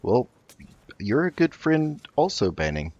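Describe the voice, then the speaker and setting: A man hosting a roleplay gaming video, improvising in character with a polite, halting delivery. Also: medium pitch, very animated, darkish tone, breathy edge, dry recording, lo-fi sound. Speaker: gaming host.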